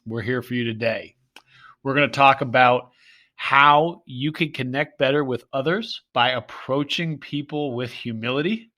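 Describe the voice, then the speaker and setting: A man co-hosting a podcast with a bright, forward tone and mid-range pitch. He sounds a little stuffed up, but he stays upbeat and playful as he explains with images and metaphors. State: congested and stuffy. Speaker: podcast co-host. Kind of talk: casual podcast chat.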